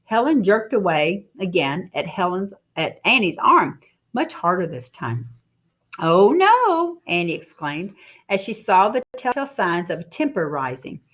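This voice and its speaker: A woman reading a story aloud in an expressive, dramatic teacher's storytelling manner. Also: on the low side, normal speed.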